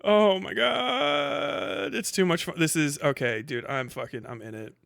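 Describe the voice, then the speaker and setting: A man's voice: sits high, measured pace, vocal fry, fairly bright tone, no echo, studio quality. A man hosting a casual livestream, commenting and reading posts aloud in a slangy, sweary, mocking way. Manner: often breaks into laughter. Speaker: livestream host.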